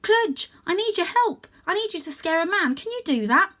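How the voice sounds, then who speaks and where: A woman's voice: extremely high pitch, expressive, moderate pace, fairly bright tone, pitched above the performer's own voice. A woman voicing a young male cat, an earnest, naive and kind hero of a children's storybook. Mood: urgent, determined.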